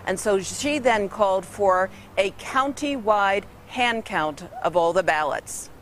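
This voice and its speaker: A woman's voice: mid-range voice, lively intonation, slow. Female TV reporter, medium register, explanatory live-report delivery, light background noise. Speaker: TV news reporter. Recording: faint ambient background noise.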